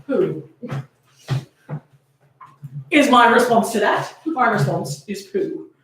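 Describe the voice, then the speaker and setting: A woman's voice: on the high side, lively intonation, takes it slowly. Echoey, distant woman, playfully sarcastic livestreamer. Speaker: livestream host. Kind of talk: casual livestream banter. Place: echoey room, away from the mic. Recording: echoey room, distant mic, noisy.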